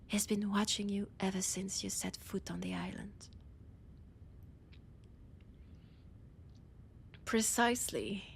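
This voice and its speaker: An ancient female vampire scholar with a French accent, played by a woman in a roleplay audio drama. She talks slowly and deliberately at a middling pitch, often sinking into a breathy whisper. Her manner is proud, teasing and predatory. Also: slight background noise. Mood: ominous.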